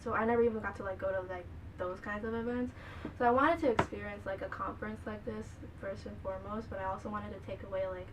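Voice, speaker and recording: A woman's voice: mid-range voice, steady tone, fast talker. A twenty-year-old woman with an American accent, a college student giving informal, hesitant interview answers peppered with "like". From Bangladesh, raised in the United States from early childhood. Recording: noisy room, distant microphone.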